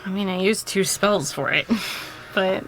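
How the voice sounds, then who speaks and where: A woman's voice: mid-range voice, measured pace, a bit bright, a little hiss or hum, rough recording. A woman chatting casually as a tabletop game player, thinking aloud about her moves. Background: music.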